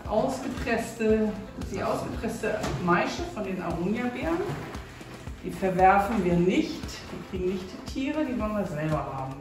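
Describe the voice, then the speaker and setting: A woman's voice: medium pitch, distant mic. Woman, middle-aged juice maker, casual interviewee, echoey workroom sound with faint music behind. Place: small tiled juice-pressing workroom indoors. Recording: echoey tiled room, off-mic, faint music.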